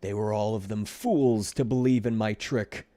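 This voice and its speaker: A man's voice: fairly low pitch, normal speed, mellow, lightly acted. A man voicing a selfish, scheming flea from a children's storybook, melodramatic, whiny and boastful. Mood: gleeful, gloating. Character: selfish, scheming male flea feigning illness.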